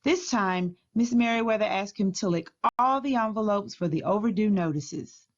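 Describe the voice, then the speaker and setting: A woman reading a children's storybook aloud as a teacher would, clearly, deliberately and expressively. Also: medium pitch, highs cut off.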